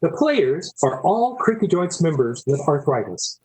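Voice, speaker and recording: A man's voice: fairly high pitch, sing-song, a little slow, a little mellow, rough recording. A man narrating from a script as the announcer of a radio play.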